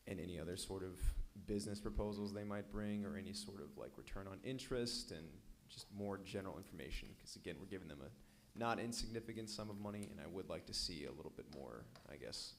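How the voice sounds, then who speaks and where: A man with a very deep voice speaks during public comment at a council meeting. He talks fast and loud, asking pointed but self-deprecating questions. Faint room noise sits behind him. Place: council chamber.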